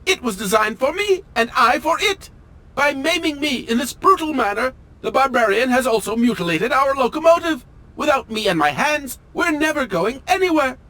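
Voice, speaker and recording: High-pitched, fussy, pompous automaton. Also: male voice, lively intonation, normal speed, pushed and bright, heavily acted. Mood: stiffly officious. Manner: pompous, theatrical, formal and fussy diction. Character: fussy, pompous male automaton train engineer, melodramatic and wounded. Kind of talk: video game character acting.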